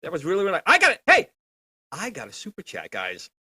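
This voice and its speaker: A man with a fairly high voice that swings widely in pitch. He chats informally as a gaming show co-host, and the sound is dry. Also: fast, husky, no echo. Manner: animated, informal. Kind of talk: casual livestream chat.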